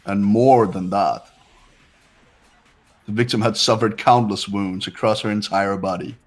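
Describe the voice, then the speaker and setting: A man's voice: on the low side, steady tone, a little slow, soft background music. A man voicing a formal, pompous, calculating young man with a superior manner, in a fan-voiced game. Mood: certain. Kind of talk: fan-voiced visual novel game acting.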